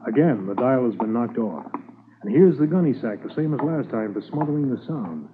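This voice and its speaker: A male actor portrays a polite but persistent Mountie sergeant in 1950s radio acting style. His medium-pitched voice is formal and businesslike. It comes through a thin, tinny old recording with light noise. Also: animated, brisk, natural voice. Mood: analytical.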